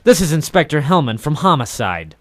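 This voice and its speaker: An actor playing a cynical, tough private eye, deadpan and wry, in a noir radio drama. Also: male voice, fairly high pitch, brisk, dry recording, studio quality. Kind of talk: 1940s film noir radio detective narration.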